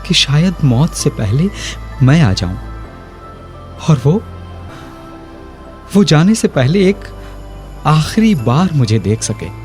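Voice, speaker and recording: A man acting a middle-aged history professor in a Hindi audio drama, eloquent and guilt-ridden, with dramatic, weighty pauses. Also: a little high, a little mellow, full character voice.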